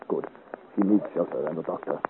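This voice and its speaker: An actor playing a gallant, bold young Breton adventurer in a radio serial, earnest and formally courteous. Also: male voice, sits low, a little fast, lightly acted. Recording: noisy, narrow-band 1950 radio serial.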